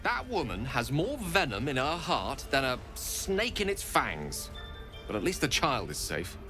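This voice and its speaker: A man's voice: mid-range voice, huge pitch swings, measured pace, bright, forceful tone, faint music behind, heavily acted. An actor playing a wry, eloquent man in a video game, articulate and theatrical. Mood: wry, reflective.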